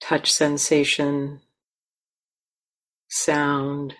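Very slow-paced woman guiding meditation. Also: deep voice, completely flat delivery, low-quality recording. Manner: gentle, unhurried, with long pauses. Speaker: meditation teacher. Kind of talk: guided meditation.

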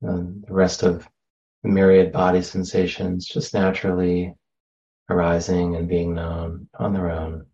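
A man guides a meditation in a low, mellow voice. His delivery is very slow and gentle, with long pauses between phrases. The sound is slightly dull. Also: monotone. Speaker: meditation teacher.

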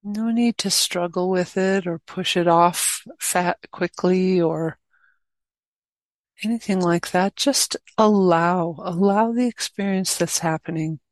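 Female meditation guide, lower-pitched, very unhurried and gentle, short phrases with long gaps. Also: no room sound. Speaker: meditation teacher.

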